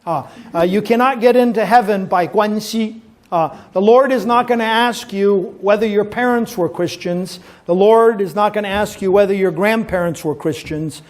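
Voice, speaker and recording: A man in his fifties with a noticeably high-pitched voice speaks as a pastor to a room of teenagers. He was raised in Taiwan and talks in an easygoing, storytelling way. He drops in small jokes and often pauses on "uh" as he gathers his words. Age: 50s. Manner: relaxed storytelling with gentle jokes and frequent "uh" pauses. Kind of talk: informal talk to a youth audience at a church event.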